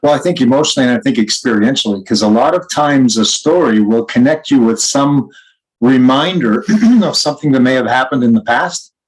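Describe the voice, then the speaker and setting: Male podcast co-host, mid pitch, reflective and anecdotal conversational delivery. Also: fairly quick, poor audio. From Canada.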